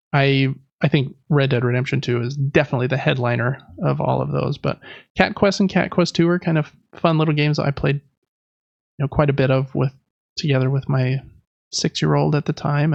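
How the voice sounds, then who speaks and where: A man's voice: on the high side, gravelly, no room sound, highs cut off. A man hosting a casual gaming podcast, reading through news lists in a chatty, informal way with personal asides. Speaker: podcast host.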